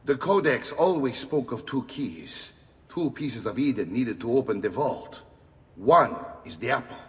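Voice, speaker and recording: Grave old Italian uncle, echoing hall. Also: male voice, medium pitch, lively intonation, unhurried. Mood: grave resolve. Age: older. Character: resolute older Italian uncle, seasoned fighting leader. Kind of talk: video game cutscene acting. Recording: long reverb, music behind.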